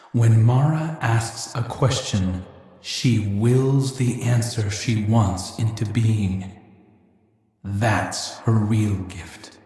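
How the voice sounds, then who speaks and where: An actor playing an earnest, brooding princely brother in a video game, wry and regretful, speaking in a measured, reflective way. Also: male voice, mid-range voice, warm tone, heavy reverb, close to an everyday voice. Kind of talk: dramatic video game monologue.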